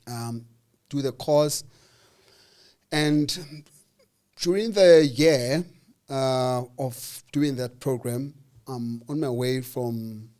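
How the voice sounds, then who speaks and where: A South African man, mid-pitched, recounts his journey in an unhurried, chatty way. He slips into quick little voices for the people he meets and leans on "um" as he gathers his thoughts. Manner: animated, acts out dialogue with voices, hesitant fillers. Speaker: interview guest. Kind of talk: casual storytelling interview.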